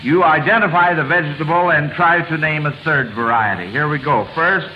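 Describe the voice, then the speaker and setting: Guy hosting a 1940s radio quiz, folksy chatty voice kinda high, noisy muffled old broadcast. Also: a little fast. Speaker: quiz show host.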